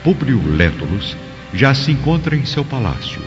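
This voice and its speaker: A man's voice: medium pitch, very animated, moderate pace. A man giving dramatic, scripted narration for a radio drama. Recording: muffled sound, clear music behind.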